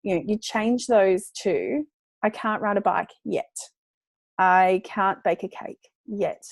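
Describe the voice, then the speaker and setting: Woman, a bit hoarse today, chatty parenting educator, mid pitch, dry close sound. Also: slow, no echo. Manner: chatty, encouraging, lots of fillers. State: losing her voice. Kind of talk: casual talk to parents.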